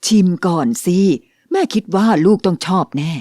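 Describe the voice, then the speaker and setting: A woman voicing a gentle, patient mother in a dubbed cartoon, speaking in a soothing, coaxing way. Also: medium pitch, very animated, normal speed, no room sound, clean studio sound. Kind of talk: cartoon dubbing.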